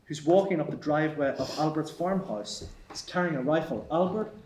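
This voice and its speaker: A man's voice: fairly high pitch, a little fast, slight background noise. Man reading stage directions. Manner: even, matter-of-fact reading. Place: theatre stage. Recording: echoey hall.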